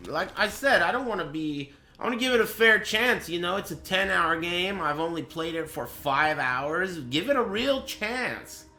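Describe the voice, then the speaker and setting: A male game streamer shares his impressions in a casual, off-the-cuff style. His voice sits a little high for a man and has a bright, forceful edge. He is blunt and profane, with a dry sarcastic streak. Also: expressive, normal speed, few pauses.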